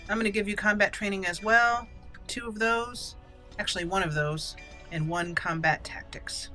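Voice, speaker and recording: Bright-voiced woman doing casual game commentary. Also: mid-range voice, normal speed. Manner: talks to herself and to game characters. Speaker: gaming video host. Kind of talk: casual let's-play commentary. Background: game music.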